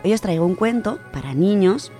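A woman with an accent from Spain, presenting and reading children's stories on the radio in an expressive, dramatic way. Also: medium pitch, brisk, faint music behind. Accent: Spanish from Spain. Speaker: radio storytelling contributor. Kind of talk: children's book reviews and expressive story reading. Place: radio studio.

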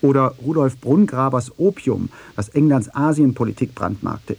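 Mellow, slightly husky male documentary narrator. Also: mid-range voice, lively intonation, normal speed, dry recording. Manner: sober, precise. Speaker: radio feature narrator.

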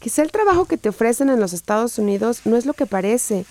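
Actress doing woman survivor confiding, gentle and resilient, highish mellow voice, radio drama. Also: lively intonation, fast, dry recording, natural voice. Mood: earnest, warning.

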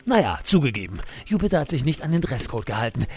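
A man's voice: mid-range voice, very animated, quick pace, a little mellow, faint music behind, natural voice. An actor playing a flippant, unrepentant male office worker with a breezy, mock-innocent manner in a comedic radio play. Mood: defensive, breezy.

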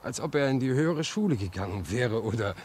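An actor playing a good-natured, simple railway worker in a 1950s radio drama, folksy and a little hesitant. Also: male voice, mid-range voice, lively intonation, a little fast, darkish tone, natural voice. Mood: chatty. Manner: folksy, hesitant, chuckling asides. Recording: noisy old 1950s radio recording.

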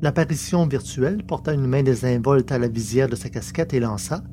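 A man's voice: medium pitch, fairly quick. Male story narrator, noisy humming recording. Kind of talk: scripted literary narration of science fiction. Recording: clearly noisy background with hum.

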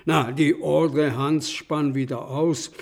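A jovial count speaks very slowly in a fairly high male voice. He is generous, courtly and hearty, in the manner of a period radio play. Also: fairly even intonation, gravelly, full character voice. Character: jovial, generous aristocratic count, a genial host. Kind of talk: period radio drama acting.

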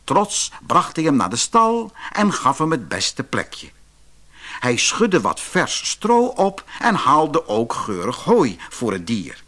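A man reading a fairy tale for children in an expressive narrating style. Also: medium pitch, slow pace.

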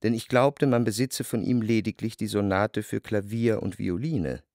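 An actor playing a reflective, refined writer narrating his memories in a measured, literary radio drama manner. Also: on the low side, fairly even intonation, darkish tone, no echo, studio quality, lightly acted. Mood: contemplative. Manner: measured, contemplative. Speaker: first-person narrator. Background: music behind the voice.

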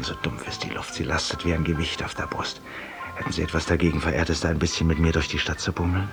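An actor playing a vain, ironic, confessional man in a 1950s radio drama monologue, urbane and theatrical, addressing a silent companion. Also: extremely low pitch. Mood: weary, confiding. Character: vain, confessional, ironic male Parisian lawyer in exile. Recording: distant-sounding 1950s radio studio recording.

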